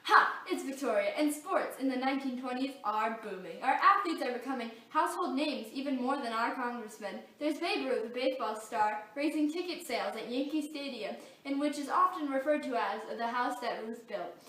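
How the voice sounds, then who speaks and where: A woman's voice: sits high, moderate pace, few pauses, a bit bright. A teenage American girl reading an amateur scripted mock newscast as a student reporter. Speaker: student playing news reporter and anchor. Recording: roomy echo, distant mic.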